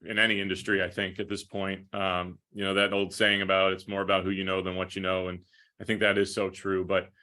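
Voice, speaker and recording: Male sports broadcaster as interview guest, low bright voice, conversational, winding delivery. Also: completely flat delivery, a little fast. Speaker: hockey play-by-play broadcaster being interviewed.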